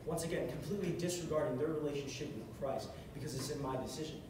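A man's voice: a little high, quick pace. A young man giving a short, earnest sermon from a stage, repeating phrases for emphasis, recorded from across a large hall. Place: large carpeted hall, on a stage at a music stand. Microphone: far camera across the room. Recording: echoey hall from far off, with noise.

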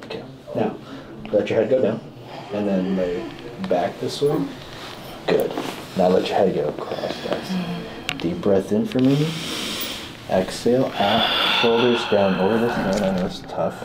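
A man's voice: lowish voice, a little slow, long pauses. A man working as a chiropractor, giving casual, reassuring hands-on instructions to a patient. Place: small indoor treatment room. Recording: noisy small room recording.

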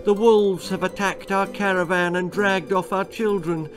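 A high, wailing storybook traveller begging desperately , as put on by a male reader. The pleading is urgent and beseeching, with music behind it. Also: steady tone, measured pace.

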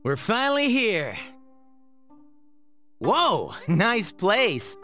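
A brash teenage goof from an anime game. He talks in slangy, offhand phrases with a high, swinging, unhurried voice. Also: huge pitch swings, pushed and bright, soft background music, exaggerated voice. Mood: impressed. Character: brash, goofy teenage schoolboy. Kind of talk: anime video game dub acting.

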